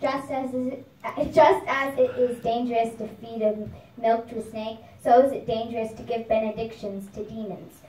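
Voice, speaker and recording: A high, solemn voice echoes from a short distance as a protective half-man, half-lion god played by a woman. The delivery is formal and measured, that of a benevolent deity in a puppet show. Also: fairly even intonation, mellow, lightly acted. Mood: solemn warning. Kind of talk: devotional puppet show dialogue. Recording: echoey room, distant microphone.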